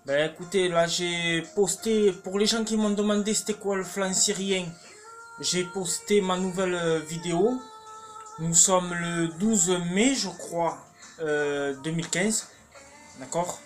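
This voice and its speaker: A fairly high-voiced man in his thirties with a Marseille accent chats to his phone in a small home kitchen. He rambles, restarts his sentences and fills gaps with hesitations. The phone is held at arm's length. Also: measured pace, soft background music. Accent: Marseille French. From Marseille, France. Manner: rambling, chatty, many fillers and restarts. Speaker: cooking vlogger. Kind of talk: casual chat to camera. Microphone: phone camera held at arm's length.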